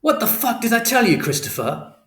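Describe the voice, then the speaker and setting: Guy putting on an angry dad, yelling and cursing, way higher and louder than the narrator, echoey room. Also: very animated, brisk, full character voice. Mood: furious. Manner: shouting, swearing, bullying. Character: furious middle-aged working-class father. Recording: roomy echo.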